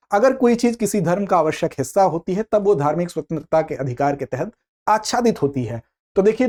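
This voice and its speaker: This is a current affairs lecturer with a soft, mellow tone. The delivery is didactic and explanatory, often asking a question and then answering it. Key points get repeated and rephrased for students. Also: medium pitch.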